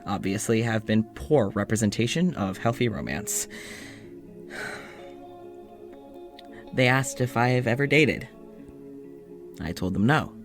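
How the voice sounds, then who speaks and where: A man's voice: mid-range voice, close to an everyday voice. An actor playing a lonely, anxious man who talks to his audio journal late at night, hesitant and stammering, sighing often, full of self-doubt. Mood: introspective. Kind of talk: audio drama monologue.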